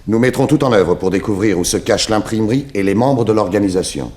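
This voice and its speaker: A confident, methodical private detective played by a man in a 1960s radio drama. His voice is low, and he lays out his reasoning with firm assurance. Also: animated, brisk, darkish tone, natural voice. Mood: assured, explaining. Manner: assured, explanatory, crisp deductions. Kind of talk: 1960s French radio serial acting.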